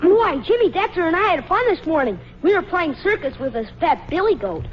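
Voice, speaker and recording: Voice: extremely high pitch, very animated, brisk. A performer playing an eager, wheedling young son in a 1940s radio comedy, whiny when refused. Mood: carefree, grumbling. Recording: muffled, noisy old radio recording.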